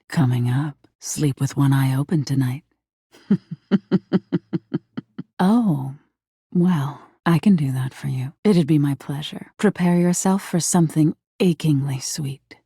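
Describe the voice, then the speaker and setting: A woman playing a living nightmare spirit in a video game, seductive and eerie, with a breathy, intimate delivery and a wounded, menacing edge. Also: measured pace, dark tone, dry recording, studio quality, heavily acted. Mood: playfully sinister. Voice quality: breathy, husky, low. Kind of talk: video game character acting.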